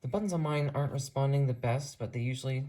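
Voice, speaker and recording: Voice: mid-range voice, quick pace, warm tone. A person with an earnest, rambling, enthusiastic way of talking, giving a casual tour of a hobby collection. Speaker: hobbyist video creator showing a radio collection.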